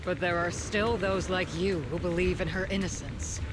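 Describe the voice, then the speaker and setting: An actress playing a proud, bitter fallen soldier commander out for vengeance in a video game, with solemn, theatrical delivery. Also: female voice, lowish voice, steady tone, normal speed, background noise, lightly acted. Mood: bitter, resolute. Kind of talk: dramatic video game voice acting. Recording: game dialogue under loud music. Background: loud dramatic game music.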